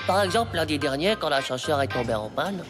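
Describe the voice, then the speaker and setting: An actor voicing an excitable, dramatic ten-year-old schoolboy in a French cartoon, whiny and full of exclamations. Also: mid-range voice, fast, over music, close to an everyday voice. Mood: dramatic lament.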